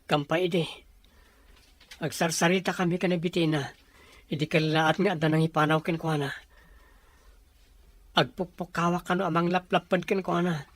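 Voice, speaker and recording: A man's voice: fairly high pitch, level tone, moderate pace, long pauses, a bit bright. A man with a Filipino accent acting a worried, lovestruck young son in an amateur audio drama. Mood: shaken. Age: young adult.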